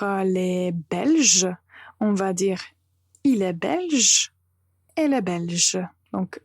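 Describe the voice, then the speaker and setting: Slow, careful woman teaching. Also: medium pitch, a little mellow, dry recording, studio quality. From Serbia. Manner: slow, careful pronunciation drills. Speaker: French teacher, podcast host. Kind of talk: podcast language lesson.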